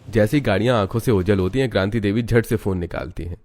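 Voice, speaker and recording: A man's voice: on the low side, quick pace, darkish tone, no echo. A man giving a dramatic scripted narration of a family story. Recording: music behind the voice. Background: background music.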